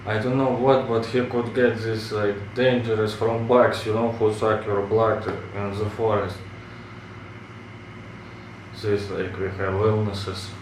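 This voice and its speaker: A man from Russia with a Russian accent, hosting a casual reaction video, chatty and halting in his English. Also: lowish voice, flat delivery, a little hiss or hum. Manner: chatty, halting, many fillers and restarts. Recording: roomy, mic at a distance.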